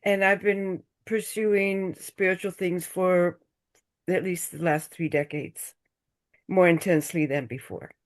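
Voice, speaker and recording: An older woman from the United States, a guest on a video call, speaking slowly and searching for her words. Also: lowish voice, steady tone. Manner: slow, thoughtful, searching for words, drops to a whisper. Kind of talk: casual chat on a group video call.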